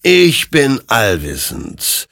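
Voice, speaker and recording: Guy doing an ominous smoke ghost rider, all knowing death figure, solemn, raised pitch, super slow, story reading. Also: wildly swooping pitch, fairly bright tone, no echo, studio quality, full character voice. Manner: solemn, slow, pronouncing doom.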